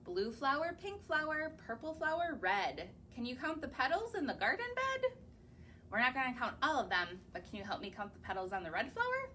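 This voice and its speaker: Young female librarian, story time; high, lively sing-song delivery, slow and coaxing. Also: a little hiss or hum. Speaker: children's librarian. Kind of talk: reading picture books aloud to young children. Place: library or home, recorded on camera.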